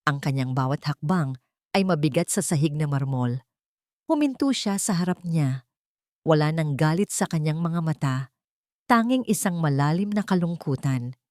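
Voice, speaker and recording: A woman narrating a scripted drama story in a solemn, deliberate way. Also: deep voice, lively intonation, a little mellow, no room sound, studio quality.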